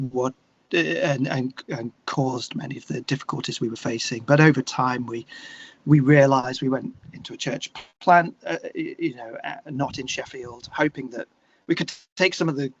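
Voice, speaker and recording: A man with an English accent talking candidly and reflectively as an interview guest, searching for his words in a halting way. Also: mid-range voice, breathy edge, lo-fi sound.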